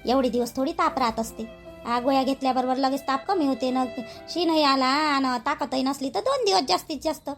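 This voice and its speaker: Woman playing gossipy village mother, Vidarbha Marathi accent, repetitive complaining, high voice, cartoon dialogue. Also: brisk, faint music behind. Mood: suspicious, irritated. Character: complaining, suspicious village mother gossiping about her daughter-in-law.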